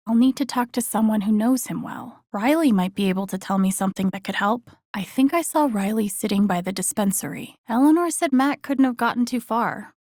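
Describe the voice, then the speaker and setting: A woman's voice: on the high side, expressive, a little fast, few pauses, darkish tone, dry recording, clean studio sound. A woman voicing an introspective, wry and empathetic young woman in a video game, casually muttering her thoughts to herself in a naturalistic way. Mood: thoughtful. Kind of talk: naturalistic game character inner monologue.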